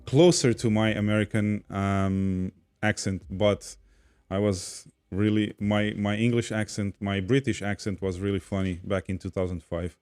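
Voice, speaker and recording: Portuguese-accented man, low voice. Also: fairly even intonation, normal speed, darkish tone, clean tone. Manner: chatty, reads viewer comments aloud, laughs easily. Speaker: podcast host reading chat. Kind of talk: casual livestream chat.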